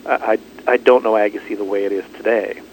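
A middle-aged man from Canada, an author, speaking in a radio interview, thoughtful and halting with many restarts. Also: fairly low pitch, steady tone, darkish tone, a little hiss or hum, low-quality recording.

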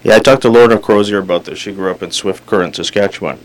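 A man's voice: fairly low pitch, fast talker, a little hiss or hum, low-quality recording. A man from Canada hosting a radio interview, asking conversational, probing questions.

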